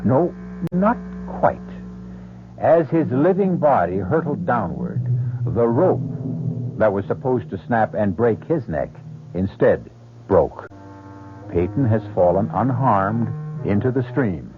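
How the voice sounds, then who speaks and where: A man narrating as the solemn host of an old-time mystery radio show, deliberate and suspenseful, in a dramatic scripted style. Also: fairly low pitch, very animated. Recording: very dull old radio recording. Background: music.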